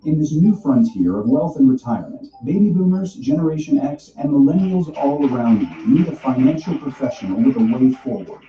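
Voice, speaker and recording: A man reading a scripted corporate promotional narration with a smooth, polished delivery. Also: medium pitch, big pitch swings, brisk, few pauses. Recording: dull, distant video playback in an echoey room. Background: background music.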